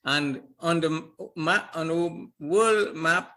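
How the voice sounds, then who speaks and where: An older man with a lilt and a high-set voice. He tells his story in an animated way, often chuckling and doubling back on his words as a podcast guest. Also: takes it slowly. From Trinidad and Tobago. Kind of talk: casual storytelling interview.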